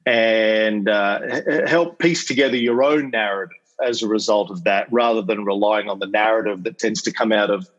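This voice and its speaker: A man's voice: on the low side, normal speed, few pauses, a bit bright. A man with an Australian accent giving a casual lecture over a video call as a podcast host, chatty and full of ums and uhs, with wry asides. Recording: slightly dull video-call audio.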